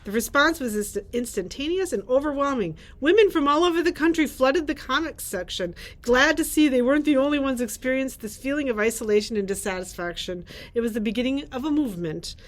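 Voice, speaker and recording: A woman's voice: high-pitched, animated, brisk, hardly any pauses. A middle-aged woman, a librarian, presenting book picks and reading blurbs aloud into a camera in a library. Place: library room with bookshelves.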